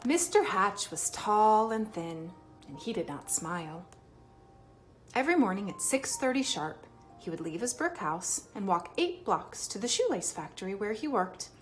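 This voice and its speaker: A woman reading a children's picture book aloud expressively, with dramatic emphasis and pauses. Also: medium pitch, big pitch swings, soft background music. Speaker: read-aloud storyteller.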